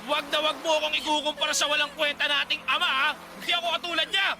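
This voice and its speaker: A drunken young Filipino brother with a very high voice. He is sarcastic, loud and quick to anger, in a radio drama with noisy outdoor ambience behind him. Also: level tone. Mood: furious. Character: drunken, belligerent young man, hot-tempered elder brother.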